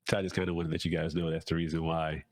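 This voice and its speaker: A man's voice: very deep voice, little pitch movement, racing. A man talking casually as a podcast host, nasal and congested from a cold, rambling with fillers and restarts. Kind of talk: casual solo talk.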